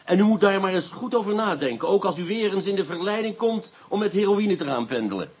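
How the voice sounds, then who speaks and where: A stern, sardonic police inspector in a radio drama. His voice is fairly high and husky, with very animated pitch as he probes in a dry, formal manner. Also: quick pace. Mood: probing, dry. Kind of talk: Dutch radio drama acting.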